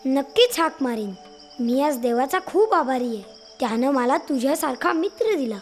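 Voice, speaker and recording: A woman voicing a kind, humble male dove in a children's animated story, speaking in a gentle, earnest way. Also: high voice, normal speed, faint music behind. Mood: thankful.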